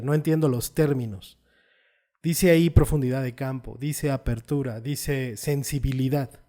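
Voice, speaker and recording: A man's voice: a little high, dark tone. A man, a photographer hosting his channel, patiently explaining ideas through everyday examples and tag questions. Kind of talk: explanatory video talk.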